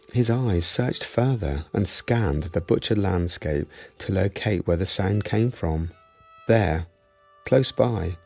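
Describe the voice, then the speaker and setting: A man giving solemn, deliberate, gravelly dramatic narration of a war tragedy for a radio play. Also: low-pitched, a little mellow, full character voice.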